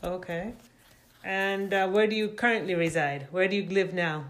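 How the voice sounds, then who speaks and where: A woman's voice: mid-range voice, fairly even intonation, moderate pace, slight background noise. Woman host asking questions patiently. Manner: rephrases questions, uses fillers. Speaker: podcast host and interviewer. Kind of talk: casual interview.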